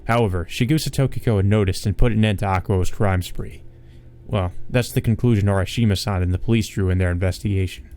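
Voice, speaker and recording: A man's voice: on the low side, a little mellow. A man hosting a let's play, reading a visual novel aloud and adding casual asides. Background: game music.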